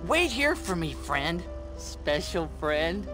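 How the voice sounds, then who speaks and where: A man's voice: a little high, sing-song, slow. An actor playing a creepy, unhinged male serial killer who talks in a wheedling, sing-song way in a video game. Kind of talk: video game cutscene dialogue. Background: game music.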